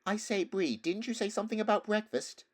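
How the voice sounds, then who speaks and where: A weary young runaway boy from a storybook, acted by a man, speaking up in a raised pitch. He is blunt and plain-spoken, quick to protest. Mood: eager, questioning. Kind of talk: storybook character voice.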